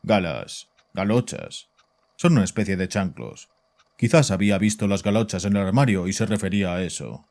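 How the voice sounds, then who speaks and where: An actor narrating a horror audio drama and playing its composed psychiatrist, measured and grave in a deep, dark voice. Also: male voice, lively intonation. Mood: calm, probing. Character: composed, probing male psychiatrist who also narrates. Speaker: narrator and doctor.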